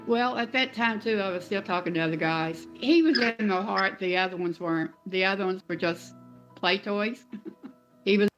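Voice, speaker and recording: A middle-aged woman speaks conversationally as an interview guest, with a medium-pitched voice. Faint guitar music plays underneath as she tells her own story. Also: moderate pace, muffled recording.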